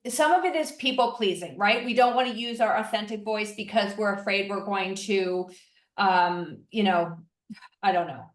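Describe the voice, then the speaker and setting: A woman's voice: mid-range voice, normal speed. Enthusiastic woman host, echoey and distant. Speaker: podcast host. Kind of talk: casual podcast conversation. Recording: echoey voice from off the mic.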